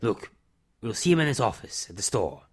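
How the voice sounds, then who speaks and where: A man playing an older reclusive character in an amateur audio drama, calm, firm and fatherly, speaking in terse, measured phrases. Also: medium pitch, breathy edge. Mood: reassuring, steady.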